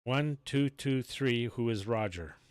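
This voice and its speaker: A man's voice: medium pitch, expressive, unhurried, clear, resonant tone, no room sound, studio quality. A man chatting casually on amateur radio, rambling and searching for words as he goes. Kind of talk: casual on-air radio chat.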